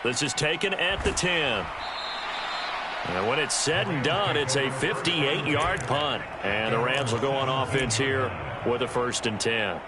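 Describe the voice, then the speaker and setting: An actor playing an American football play-by-play announcer in a video game, speaking scripted calls with an American accent. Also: male voice, mid-range voice, lively intonation, measured pace, over a noisy crowd, heavy background noise, lightly acted. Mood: brisk, excited. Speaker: play-by-play sports commentator.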